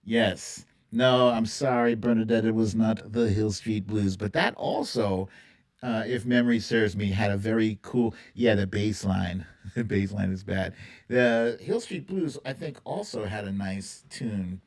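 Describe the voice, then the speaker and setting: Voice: medium pitch, measured pace, a little mellow. A livestream host chatting casually and playfully with viewers, rambling and thinking aloud while running an informal quiz. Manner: playful, breaks into humming and singing theme tunes. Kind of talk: casual live chat with a TV theme quiz.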